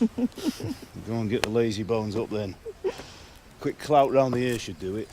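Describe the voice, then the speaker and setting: A man playing a gruff joking blacksmith father. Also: on the low side, a little slow, noisy background, natural voice. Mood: gruff teasing. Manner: blunt, teasing, bossy. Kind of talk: video game cutscene acting.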